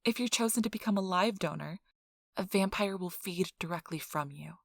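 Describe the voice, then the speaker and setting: A woman's voice: on the low side, moderate pace, creaky, dry recording, studio quality. A woman playing a cheerful, earnest donor recruiter in an ASMR role-play, with a polite, reassuring customer-service manner. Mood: upbeat, reassuring. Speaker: donor acquisition interviewer.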